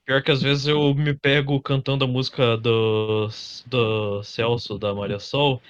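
Dull, slightly noisy call audio, slow-paced man, lively pitch swings, Brazilian accent, casual chat. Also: clean tone, dry recording. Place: online voice call.

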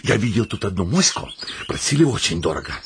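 An actor playing a shabby, calculating suitor with a rough, raspy voice in a comic radio play. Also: male voice, on the low side, sing-song, quick pace, fairly bright tone, no echo, full character voice. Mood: deliberating.

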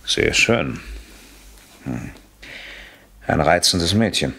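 Betrayed husband in a radio thriller, lowish voice, very animated delivery, icily courteous, bossy, out for revenge. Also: male voice, extremely slow, vocal fry, slightly rough, heavily acted. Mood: polite, controlled. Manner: polite, mockingly courteous, commanding. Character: coolly menacing betrayed husband plotting revenge on rival. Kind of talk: German radio thriller acting.

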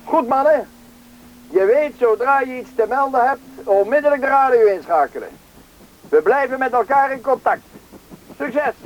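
A man acting a calm, authoritative search party leader in a radio play, reassuring and matter-of-fact. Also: extremely high pitch, normal speed, pauses often. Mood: brisk, instructing. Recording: dull radio play recording, faint noise.

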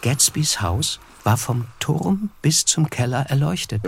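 A man playing a reflective writer who narrates a literary radio play in a measured way. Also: mid-range voice, animated, vocal fry, slightly rough, heavily acted. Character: wry, reflective male narrator, a struggling writer.

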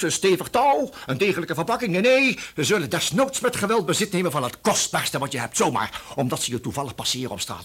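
Een acteur speelt in een absurdistisch hoorspel een excentrieke oude heer, plechtig en archaïsch formulerend, pietluttig, verontwaardigd en hakkelend. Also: male voice, on the high side, fairly bright tone, husky, exaggerated voice. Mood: aggrieved. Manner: formal archaic wording, stammering, fussy and indignant. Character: eccentric, pompous old man guarding his cardboard box. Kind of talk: comic absurdist radio play acting.

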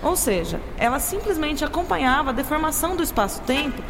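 A woman's voice: high-pitched, sing-song, brisk, creaky, exaggerated voice. A woman playing a kindly, motherly scientist in an educational radio drama, affectionate and didactic. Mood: explaining. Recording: noisy, with long reverb.

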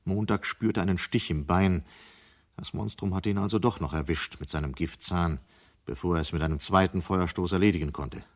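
An actor as a sober storyteller, giving scripted narration in a German radio play. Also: male voice, low-pitched, moderate pace. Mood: grave, suspenseful. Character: sober male storyteller of a dystopian tale.

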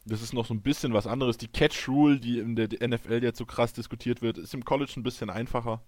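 A man from Frankfurt, co-hosting a casual podcast in a measured way with frequent hesitations. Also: medium pitch, fairly even intonation, a little mellow. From Frankfurt, Germany. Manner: measured, hesitant with ähm fillers. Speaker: podcast co-host. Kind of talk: casual chat.

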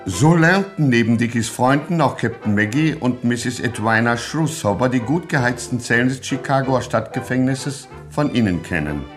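A man's voice: medium pitch, lively intonation, moderate pace, hardly any pauses, faint music behind. A man narrating a radio play in a melodramatic, mock-epic and suspenseful manner.

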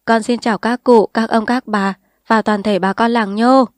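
A woman's voice: fairly high pitch, steady tone, moderate pace, no echo, pitched above the performer's own voice, lightly acted. A woman reading a story aloud, voicing a bold, scheming village man who talks in a heated, confrontational way. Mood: formal.

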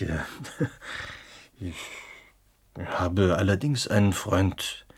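An actor playing an ailing, haunted writer in a radio play, halting and hesitant in a husky, breathy voice. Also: male voice, low-pitched, heavily acted. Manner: halting, hesitant, breaking into soft laughs. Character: ailing, haunted male writer, fearful yet fervent.

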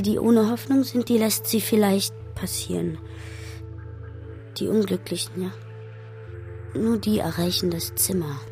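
An actress playing a sombre, haunted daughter in a German radio drama, speaking in a hushed, brooding manner. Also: female voice, mid-range voice, lightly acted. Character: sombre, haunted daughter recalling her father.

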